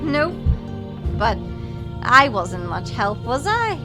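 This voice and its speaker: Woman as young student companion, high voice, slow pace, teasing, curious, audio drama, music underneath. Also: big pitch swings. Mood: shy, weary. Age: young adult. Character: plucky young female student, cheeky and curious.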